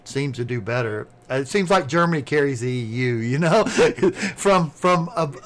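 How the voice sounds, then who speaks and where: Male streamer, Southern US accent, fast and rambling, casual conversational delivery, middle-register voice. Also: medium pitch, lively intonation, fairly bright tone. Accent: Southern American. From southern United States. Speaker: live streamer. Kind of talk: casual chat.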